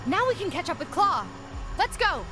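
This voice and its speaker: Very high-voiced young superheroine. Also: female voice, very animated, normal speed, loud music behind the voice. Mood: eager. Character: young, keen, upbeat superheroine.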